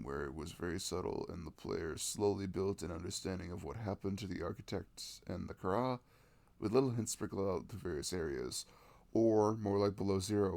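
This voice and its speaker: A man's voice: low voice, vocal fry, a little mellow, dry recording. A man playing a sweet, affectionate, nerdy young boyfriend in an ASMR roleplay, chatting tenderly in a casual, rambling way. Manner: tender, rambling, giggly, gushing about games.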